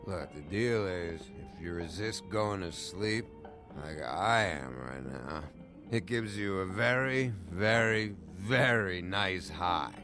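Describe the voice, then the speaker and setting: An actor playing a bitter, sardonic, foul-mouthed drunk with a gravelly voice in a video game. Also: male voice, deep voice, expressive, slow, music in the background. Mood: defiant. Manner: sardonic, confrontational. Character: belligerent, foul-mouthed male barfly, bitter and intoxicated.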